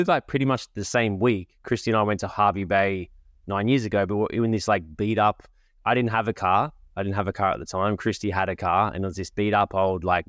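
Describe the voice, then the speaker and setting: A man's voice: low voice, dry recording, clean studio sound. A man with a cold and a cough, hosting a podcast and talking in a rambling, conversational way. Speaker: podcast host. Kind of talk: casual monologue.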